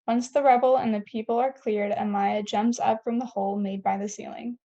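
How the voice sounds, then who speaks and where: A woman's voice: on the high side, fairly even intonation, fairly quick, warm tone. A woman reading scene headings and stage directions as narrator of a table read, on a dull lo-fi recording.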